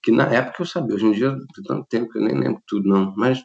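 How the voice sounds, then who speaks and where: A middle-aged Brazilian man talking in an interview, animated and quick to laugh, often restarting his sentences. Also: mid-range voice, vocal fry, lo-fi sound. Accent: Brazilian Portuguese. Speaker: interview guest, lighting designer. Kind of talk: relaxed conversational interview.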